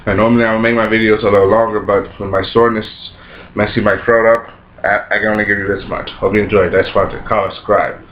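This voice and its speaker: A man with a raspy voice and a sore throat, rambling through a casual episode review, repeating himself and restarting sentences. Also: on the low side, flat delivery, fast, slight background noise. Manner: rambling, repeats himself, many false starts. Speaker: anime reviewer. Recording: roomy, dull lo-fi home recording.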